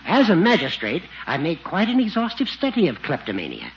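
An actor playing a genial, absent-minded old judge in 1940s radio comedy, speaking in formal, rambling flourishes. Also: male voice, fairly high pitch, huge pitch swings, fairly quick. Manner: florid, rambling, formal wording. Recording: dull, hissy 1940s radio recording.

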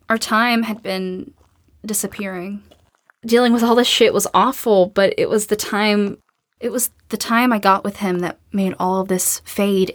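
A woman's voice: medium pitch, no echo, close to an everyday voice. Woman acting a tough, subdued young survivor, close and dry. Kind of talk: naturalistic audio drama acting.

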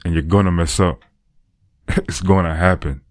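A man hosting his own podcast, talking earnestly and encouragingly in a deep voice, repeating phrases to drive them home. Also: fairly even intonation, moderate pace, no echo, clean studio sound. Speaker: podcast host. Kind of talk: motivational monologue.

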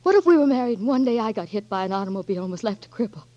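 A woman's voice: medium pitch, lively intonation, rapid-fire, warm tone, natural voice. An actress playing a devoted young wife who pleads tenderly with her blinded Marine husband, in 1940s radio-drama style. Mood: pleading. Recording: dull old radio broadcast.

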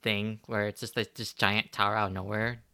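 A man's voice: fairly low pitch, little pitch movement, brisk, sharp tone, smooth, no room sound, studio quality. A man chatting casually on a podcast, hesitant and full of fillers and restarts. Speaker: podcast co-host. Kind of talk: casual chat.

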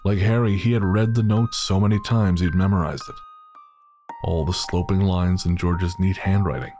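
A man narrating an audio drama in a measured, somber way. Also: sits low, fairly even intonation, warm tone. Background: music.

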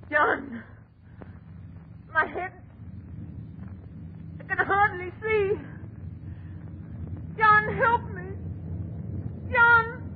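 An American actress playing a scornful, shrewish wife in a 1930s radio horror drama, with mocking, theatrical delivery. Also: female voice, sits high, phone-quality sound, exaggerated voice. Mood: panicked, hysterical. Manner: mocking, drawn-out taunts with theatrical outbursts. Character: scornful, shrewish wife turning hysterically into a cat. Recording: scratchy, band-limited 1930s radio recording.

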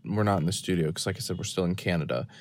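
A man giving a rambling, deadpan and self-mocking running commentary from a parked car, recorded on his phone. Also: low-pitched, racing, no echo. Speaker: podcast host. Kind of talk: rambling off-the-cuff monologue. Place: parked car in a store parking lot.

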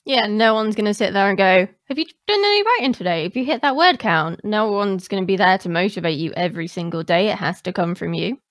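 A woman with a mid-pitched voice hosts an interview in a dry-sounding space. She talks informally and conversationally, agreeing and restating points in her own words. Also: expressive, a little fast, few pauses, no echo. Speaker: podcast host.

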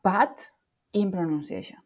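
Woman guest with a Spanish accent; low-ish voice, thoughtful, self-correcting. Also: measured pace, a little mellow. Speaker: guest researcher. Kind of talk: explanatory interview talk.

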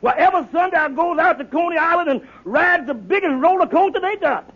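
Brief: excitable blustering schemer, very high-pitched, folksy stammering talk, old radio comedy, muffled recording. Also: male voice. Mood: comically earnest. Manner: folksy, ungrammatical, stammering repetitions, drawn-out emphasis. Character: excitable, blustering male schemer and soapbox orator friend. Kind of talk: 1950s radio comedy acting.

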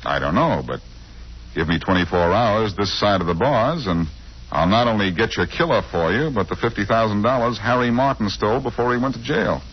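An actor playing a cool, wisecracking detective with a glib, teasing manner in 1940s radio drama style. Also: male voice, fairly low pitch, lively intonation, fairly quick, husky. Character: wisecracking, cool amateur detective, suave and flippant. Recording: muffled old-time radio recording.

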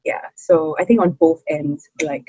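A young woman from Singapore with a Singaporean English accent, chatting expressively as an interview guest, with frequent fillers and quick laughs. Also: low-pitched, mellow, slightly rough, no echo, dull audio. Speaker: interview guest, hawker's daughter. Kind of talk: casual interview conversation.

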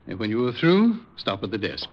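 Animated male doctor. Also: fairly low pitch. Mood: brisk, courteous. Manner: measured, soothing, instructive. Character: calm, authoritative male hospital psychiatrist, reassuring. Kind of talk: 1950s radio drama acting. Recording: muffled, noisy old radio recording.

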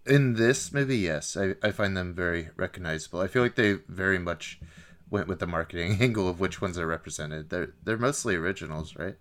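A man co-hosting a podcast, chatting casually in a halting, musing way. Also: deep voice, a bit bright. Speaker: podcast co-host. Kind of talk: casual chat.

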